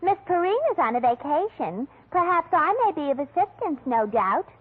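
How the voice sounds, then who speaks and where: A woman's voice: high voice, lively intonation, moderate pace. A woman playing a scatterbrained, flustered secretary who puts on prim airs and mangles big words, in a 1940s radio comedy style. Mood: prim, officious. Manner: affected, malapropism-prone. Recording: muffled, band-limited 1940s radio recording.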